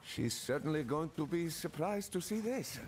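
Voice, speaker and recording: An actor playing a chatty, sly, jovial young desert rogue in a video game, with theatrical banter. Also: male voice, a little high, animated, normal speed, a little mellow, a little hiss or hum, lightly acted. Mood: delighted. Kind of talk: video game character dialogue.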